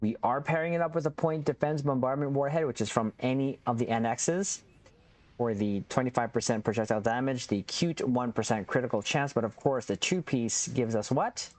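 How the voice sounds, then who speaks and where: A man's voice: medium pitch, fairly quick, darkish tone. A man hosting a casual game build walkthrough, upbeat and chatty, addressing his viewers as captains. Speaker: gaming channel host.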